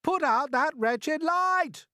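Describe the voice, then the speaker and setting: A woman voicing a loud, boastful male centipede who shouts a lot. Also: sits high, animated, slow pace, no room sound, clean studio sound, pitched above the performer's own voice, heavily acted. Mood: angry shouting. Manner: shouting, boisterous.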